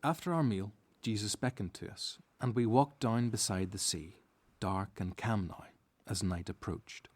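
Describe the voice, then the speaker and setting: An actor playing a devout disciple who narrates a radio Bible drama in a reflective, scripted style. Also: male voice, lowish voice, measured pace, few pauses. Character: male disciple recounting events, reflective and devout.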